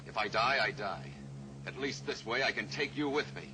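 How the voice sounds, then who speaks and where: A man's voice: mid-range voice, expressive, moderate pace, close to an everyday voice. An actor playing a cornered male killer who has taken a small plane hostage, in a 1950s radio drama. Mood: menacing. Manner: menacing, then panicking. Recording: old radio recording with hiss.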